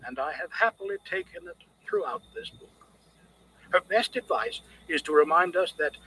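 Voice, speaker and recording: A man reading scripted audiobook narration in a measured, literary, expressive manner. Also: on the high side, slight background noise, poor audio.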